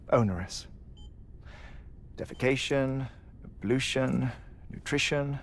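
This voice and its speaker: An actor playing an eccentric, grieving scientist in a video game, with an erudite, wordy and halting way of talking. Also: male voice, mid-range voice, vocal fry, a little hiss or hum. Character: eccentric, grieving male scientist with a weak heart. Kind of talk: dramatic video game acting.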